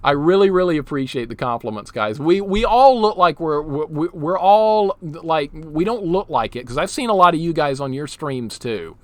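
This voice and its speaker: A man in his forties chatting casually with his viewers while playing a retro game, laughing easily and stumbling over repeated words. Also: on the high side. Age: 40s. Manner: chatty, self-deprecating, quick laughs, repeats words when excited. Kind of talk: casual live-stream chat while playing. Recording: game music playing underneath. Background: game music.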